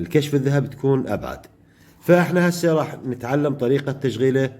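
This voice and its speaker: A man with an Iraqi Arabic accent teaching an electronics workshop step by step, pausing often mid-phrase to find the next word. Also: medium pitch, dark tone. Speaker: electronics tutor.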